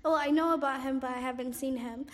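High-voiced young woman, hesitant and reflective. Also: fairly even intonation, fast, long reverb tail. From Somalia, raised in Cairo, Egypt. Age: 20s. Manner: hesitant, frequent "um" and "like", soft laughs. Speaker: oral history interviewee. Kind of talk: casual personal recollection.

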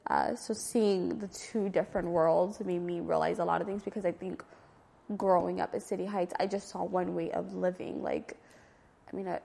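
A woman's voice: on the low side, fairly even intonation, normal speed, gravelly, warm tone. A woman around thirty, from Ethiopia and raised in San Diego, answering interview questions in an informal, reflective, hesitant way. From Ethiopia, raised in San Diego from age nine. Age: 30s. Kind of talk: oral history interview, casual recollection. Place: community center room. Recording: long reverberant hall-like echo.

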